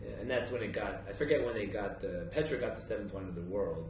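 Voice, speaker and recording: A man's voice: lowish voice, quick pace, a little mellow. A man hosting a casual podcast interview, chatting informally and jumping in with questions and remarks. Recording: echoey, distant mic, background noise.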